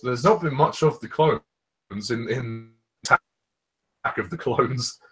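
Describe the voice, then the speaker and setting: A man riffs through a movie in casual fan commentary, blunt and sarcastic, swearing freely. His voice sits in an ordinary middle pitch. The delivery is loose and conversational. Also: slow, slightly rough.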